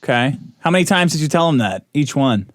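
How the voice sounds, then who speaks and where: Cast a fairly high-voiced male host who prods his guest with blunt, mocking questions in a dry, close sound. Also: lively intonation, a little fast, dry recording. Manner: blunt, teasing, profane. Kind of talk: crude comedic interview.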